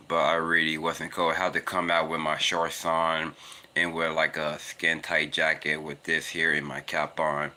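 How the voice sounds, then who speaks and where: A man in his twenties talking casually and earnestly about faith, rambling and repeating himself while out on a walk. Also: sharp tone. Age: 20s. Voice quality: naturally deep voice. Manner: rambling, earnest, repetitive "it's because". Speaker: vlogger. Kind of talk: casual spiritual monologue. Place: outdoors, walking in cold weather.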